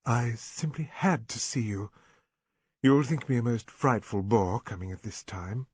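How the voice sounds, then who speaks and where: A man voicing a gruff, blustering older country squire in an audiobook reading. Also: medium pitch, a little slow, a little mellow, no echo.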